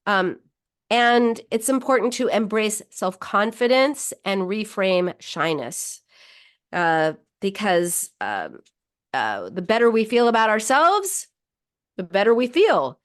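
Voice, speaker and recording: A woman, slightly hoarse, hosting a weekly psychology radio show and giving advice in a chatty monologue with many fillers and restarts. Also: medium pitch, big pitch swings, slow. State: slightly hoarse, losing her voice.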